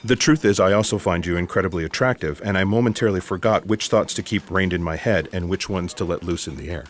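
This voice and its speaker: An actor as an earnest male special agent in a comic sci-fi audio drama. His voice is low. He speaks with courteous, deadpan calm and awkward frankness. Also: fast talker, natural voice. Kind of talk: comedic sci-fi audio theatre acting.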